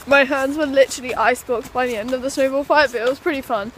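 A woman's voice: high voice, fairly even intonation, fast. A young woman chatting to the camera as a vlogger, upbeat and talkative, often while hiking outdoors. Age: young adult. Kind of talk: casual vlog chat. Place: outdoors on a windy hillside and indoors. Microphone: phone held at arm's length. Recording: noisy phone recording.

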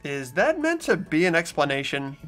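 Guy doing an earnest schoolboy game character, kinda high, swingy pitch, always incredulous. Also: big pitch swings, normal speed, fairly bright tone, soft background music. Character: earnest, easily amazed ordinary high school boy. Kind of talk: visual novel game voice-over.

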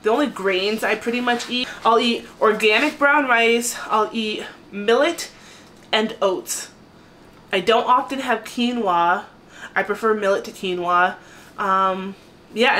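A woman in her thirties talking casually to camera in a small home kitchen, chatty and animated. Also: on the high side. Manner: chatty, animated, gestures a lot while talking. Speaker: vlogger. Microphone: camera at a distance.

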